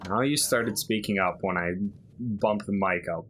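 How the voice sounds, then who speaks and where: A man with a fairly low, bright voice talks casually over game cutscenes. He explains things in loose, filler-filled asides, like a friend walking you through it. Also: measured pace, a little hiss or hum. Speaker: gaming video commentator.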